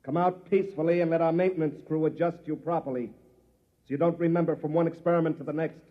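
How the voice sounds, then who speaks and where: Old-time radio villain, male actor: coolly menacing executive, formal commands, fairly high voice, muffled lo-fi recording. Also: steady tone, fast talker, natural voice. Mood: cold threat. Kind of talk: mid-century American radio drama villain acting.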